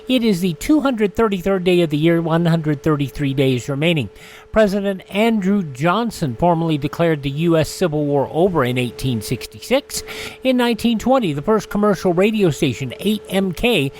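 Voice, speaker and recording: A man hosting a radio show, reading scripted items about dates in history. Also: fairly high pitch. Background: music.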